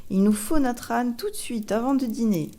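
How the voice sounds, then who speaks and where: Bossy, excitable young girl played by a female narrator for a storybook; high, eager, soft-toned delivery. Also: moderate pace, natural voice. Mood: impatient. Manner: eager, exclamatory, demanding.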